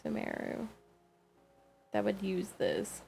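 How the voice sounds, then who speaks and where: A woman livestreaming a game with casual, rambling commentary, thinking aloud in a halting way and questioning herself. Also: medium pitch, wildly swooping pitch, gravelly, a little mellow, a little hiss or hum.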